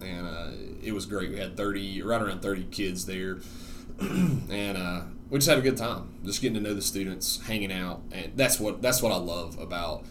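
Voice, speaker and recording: Deep-voiced young man, relaxed and halting. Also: slight background noise. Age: young adult. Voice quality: relatively deep voice. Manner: relaxed, halting, many ums and restarts. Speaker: church worship director and teacher. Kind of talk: casual podcast conversation.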